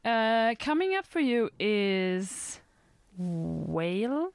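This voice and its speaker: A young woman hosting a radio show who talks in a relaxed, easygoing way and leans on fillers. Her voice is mid-pitched, and the studio sound is dry and close. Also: extremely slow, gravelly, no room sound. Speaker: radio host. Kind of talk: casual radio chat.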